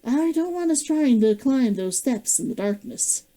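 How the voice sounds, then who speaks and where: A woman reading the lines of a practical young male adventurer in a calm, steady way. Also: on the high side, a little slow.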